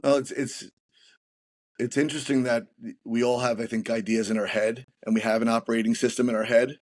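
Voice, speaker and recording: A man who is a guest on a business podcast, explaining his methods in a steady way while often repeating words as he restarts. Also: mid-range voice, fairly quick. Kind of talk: interview answers on leadership.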